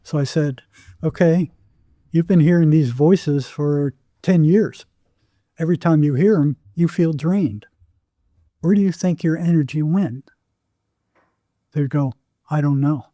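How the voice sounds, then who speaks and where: A man's voice: a little high, unhurried, long pauses, mellow, no room sound. A man speaking as an interview guest, telling anecdotes and explaining with emphatic, repeated phrasing. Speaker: psychotherapist, podcast guest.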